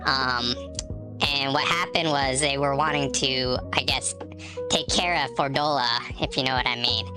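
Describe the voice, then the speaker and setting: A woman's voice: extremely low pitch, fairly even intonation, a little slow, music in the background. A woman streaming a game who chats casually, laughs often and greets viewers by name, her voice stuffy from allergies. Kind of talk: casual live-stream chat.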